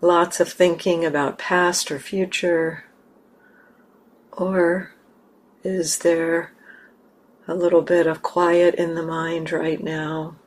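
A woman leading a guided meditation as a teacher, speaking gently and unhurriedly with long pauses. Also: on the low side, little pitch movement, slight background noise.

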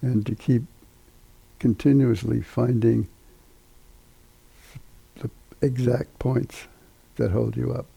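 Meditation teacher, older male, very dark mellow tone, husky, halting delivery with long pauses. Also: medium pitch, fairly even intonation, no echo, poor audio. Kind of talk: guided meditation instruction.

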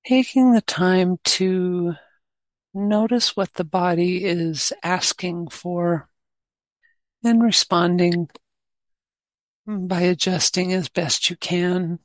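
Slow-paced woman guiding a meditation. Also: on the low side, no room sound, rough recording. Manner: gentle, unhurried, long pauses between short phrases. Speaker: meditation teacher. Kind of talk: guided meditation.

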